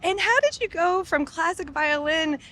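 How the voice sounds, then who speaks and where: Female interviewer, high and bright, eager tone, restarts her questions. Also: normal speed. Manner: excited, gushing, restarts questions. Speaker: podcast host. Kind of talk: casual interview. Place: busy music festival.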